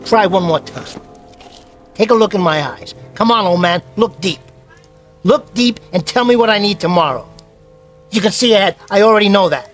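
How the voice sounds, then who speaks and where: A man's voice: high-pitched, lively intonation, normal speed, pauses often, a bit bright, soft background music. A man acting a bitter, greedy small-time crook in a radio thriller, with pushy, impatient tough talk. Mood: impatient, pressing.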